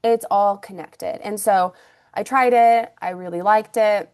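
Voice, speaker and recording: A woman's voice: mid-range voice, unhurried, darkish tone, smooth. Interview guest: woman in her thirties, Russian first language, from Ukraine, chatty storyteller with lots of fillers. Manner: chatty, many fillers and restarts. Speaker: guest, naturopathic doctor and acupuncturist.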